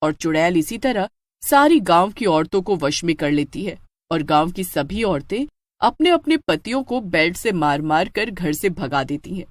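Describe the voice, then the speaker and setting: A woman's voice: on the low side, animated, moderate pace, no room sound, studio quality. A woman telling a story as a dramatic scripted narration.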